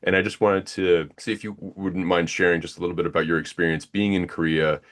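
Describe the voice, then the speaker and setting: A man's voice: sits low, fairly even intonation, fast, a bit bright. A man hosting an interview, asking a long question with many restarts and fillers. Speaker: podcast host.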